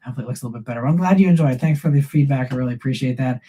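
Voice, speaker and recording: A man's voice: medium pitch, rapid-fire, warm tone, husky. A male host of a live car stream with a scratchy voice from a cold, answering viewer questions in a loose, rambling way while sitting in a room at home. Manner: loose, rambling, thinks aloud. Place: room at home with shelves behind him.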